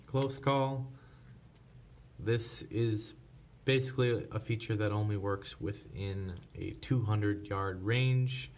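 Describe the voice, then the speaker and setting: Low-voiced man, casual tutorial, noisy background. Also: fairly even intonation, slow. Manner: informal, unscripted step-by-step explaining. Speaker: presenter of product tutorials. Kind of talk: casual hands-on tutorial narration. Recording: clear background noise.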